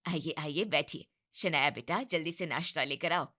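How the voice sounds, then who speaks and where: A woman's voice: low-pitched, normal speed, bright, forceful tone, pitched below the performer's own voice. A woman doing a nitpicking mother-in-law. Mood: polite. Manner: critical, complaining. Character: fussy nitpicking middle-aged mother-in-law.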